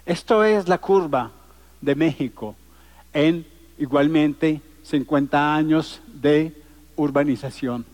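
A man's voice: fairly high pitch, takes it slowly, darkish tone. A man in his sixties from Colombia, giving a public lecture in an emphatic, rhetorical way built on repetition and lists. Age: 60s. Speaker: conference speaker. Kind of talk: public lecture to a live audience.

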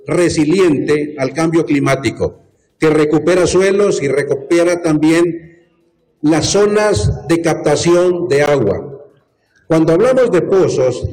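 A man, a government minister, answering a reporter's question at a press conference in a deliberate, formal way. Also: on the high side, darkish tone, poor audio.

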